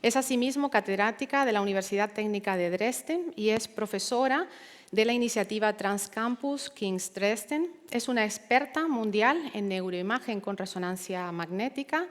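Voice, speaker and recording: A woman reads out a formal introduction of a guest speaker in a measured, even delivery at a middle pitch. Her voice rings with the long reverb of a large hall. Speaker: event presenter introducing the speaker. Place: conference hall.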